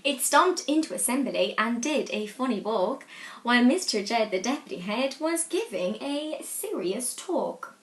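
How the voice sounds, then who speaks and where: A woman reading a picture book aloud to young children in an animated, deliberate way. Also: on the high side. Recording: echoey, roomy sound.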